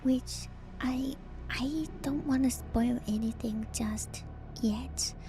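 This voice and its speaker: A woman streamer whispering softly and breathily in an ASMR style, musing gently and hesitantly through game lore commentary close to the microphone. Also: fairly high pitch, steady tone, slow pace. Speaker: streamer, VTuber host. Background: music.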